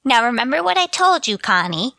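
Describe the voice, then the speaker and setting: A woman's voice: a little high, very animated, a little fast, creaky, sharp tone, no echo, full character voice. A meddling older landlady, voiced by a woman in old-time radio comedy style. She is motherly, fussy and coaxing, and the recording is dry.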